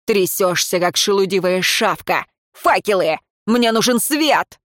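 A woman voicing an irritable highborn lord in a fantasy audiobook, harsh and commanding, snarling out orders. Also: fairly even intonation, measured pace, dry recording, studio quality, natural voice. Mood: angry despair. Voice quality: hoarse. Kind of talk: dramatic fantasy audiobook character.

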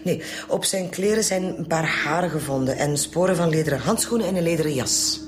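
A woman's voice: sits low, animated, brisk. A Flemish woman as a forensic doctor in a radio crime drama. She is breezy and informal, with a clinical, matter-of-fact streak. Music sits behind her voice. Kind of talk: naturalistic radio drama dialogue.

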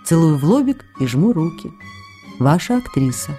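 A fond, playful letter-writing actress in a radio drama; low, mellow voice. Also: female voice, big pitch swings, moderate pace. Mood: tender. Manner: playful, affectionate, wistful. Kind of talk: radio play letter reading. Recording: studio radio play with soft music.

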